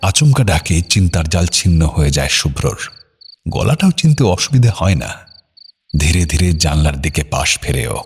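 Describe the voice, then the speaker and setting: A man narrating a scripted audio drama in a measured, somber storytelling manner. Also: low-pitched, very animated, soft background music.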